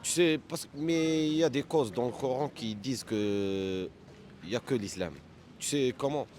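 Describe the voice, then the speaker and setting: A man whose first language is Arabic, speaking French as a second language in a street debate, halting and insistent, often repeating words. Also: mid-range voice, darkish tone, a little hiss or hum. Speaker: street interviewee.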